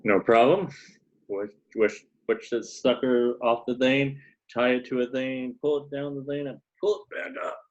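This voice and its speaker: A man's voice: mid-range voice, expressive, a little slow, lo-fi sound, lightly acted. A man at an informal table read playing a frantic, irritable computer programmer who mutters exasperatedly to himself. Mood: muttering, hurried.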